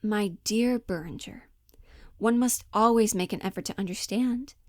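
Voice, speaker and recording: A woman's voice: medium pitch, normal speed, darkish tone, no room sound, clean studio sound, natural voice. A woman playing an earnest, mildly condescending male office worker, hesitant and placating, in a cold-read stage play. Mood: patient, philosophical.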